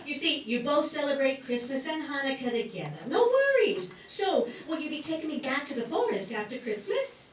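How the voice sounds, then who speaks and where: A woman's voice: high-pitched, sing-song, moderate pace, hardly any pauses. A woman playing a theatrical, guilt-tripping talking fir tree with wry humour in a comic radio play. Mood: sardonic, proud. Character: talking fir Christmas tree, dramatic, kvetching, proudly festive. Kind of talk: comic radio play acting before an audience. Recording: roomy stage sound, distant microphone.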